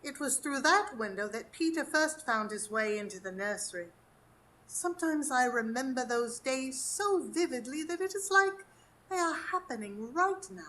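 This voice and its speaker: A woman playing a grieving, gentle elderly Edwardian mother and grandmother in a live radio drama. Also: sits high, animated, normal speed. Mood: wistful, sorrowful. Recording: live stage recording, faint ambient noise.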